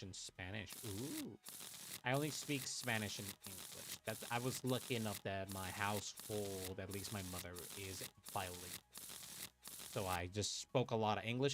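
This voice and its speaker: A man's voice: on the low side, a bit bright, low-quality recording. A young man from Puerto Rico, chatting casually on a livestream while he plays a card game, thinking aloud, swearing and muttering to himself. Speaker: streamer playing an online card game. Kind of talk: casual livestream chat and play commentary.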